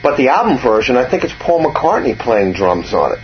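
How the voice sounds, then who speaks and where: A man with a low voice who talks music in a loose, rambling way and often restarts his sentences. The recording sounds somewhat muffled, and a faint hum sits underneath. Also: big pitch swings, fairly quick. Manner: rambling, restarts, hums a tune. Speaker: interviewer, musician. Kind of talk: casual music chat.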